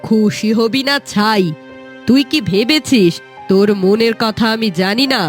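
A woman's voice: on the high side, a little slow, faint music behind, natural voice. A woman voicing a greedy, spiteful village mother in a fairy-tale cartoon, scornful and scheming. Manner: sharp-tongued, scornful.

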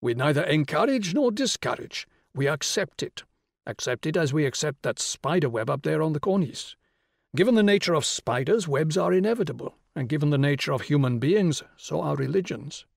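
A man's voice: a little high, expressive, normal speed, vocal fry, no room sound, clean studio sound, voice pushed up. A man reading a novel, voicing a patient, confident male intellectual who explains things articulately. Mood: confident lecturing.

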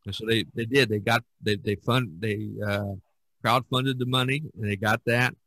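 A man hosting a casual podcast conversation, talking in a halting way with many fillers and restarts. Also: lowish voice, fairly even intonation, fairly bright tone, no room sound. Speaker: podcast host.

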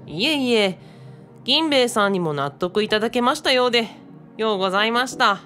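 A woman's voice: on the high side, a little fast, faint music behind. A woman playing a courteous, level-headed and slightly bashful young female assistant in a radio drama. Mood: explaining, wry. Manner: courteous, animated.

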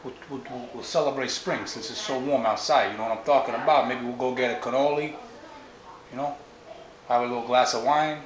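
A man's voice: medium pitch, steady tone, a little fast, echoey room, lightly acted, rough recording. A man voicing a swaggering small-time wiseguy father who rambles about schemes in a tough-guy drawl and keeps nudging for agreement. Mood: scheming.

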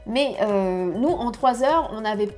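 A woman in her thirties giving travel tips to camera from her home office, lively and chatty, on a lapel mic. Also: on the high side, a little slow, faint music behind. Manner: lively, chatty, gesturing with hands. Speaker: family travel vlogger. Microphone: clip-on lapel mic.

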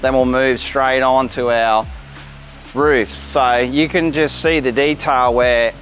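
A man's voice: medium pitch, fairly even intonation, slow, a bit bright, soft background music. Upbeat man touring a factory. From Australia. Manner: upbeat, informal, gestures at fittings while walking. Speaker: company head giving a factory tour. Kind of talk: walk-through tour presentation. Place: large indoor caravan factory and van interior.

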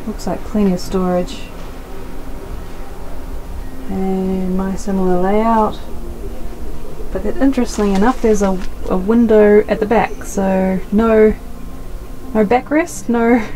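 A woman's voice: mid-range voice, steady tone, measured pace, long pauses. A woman in her thirties filming herself with a handheld camera as she tours caravans, chatting casually and thinking aloud. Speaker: vlogger. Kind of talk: casual walkthrough commentary. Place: busy indoor expo hall, inside caravans. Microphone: handheld camera at arm's length. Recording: loud crowded expo hall. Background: loud crowd and hall noise.

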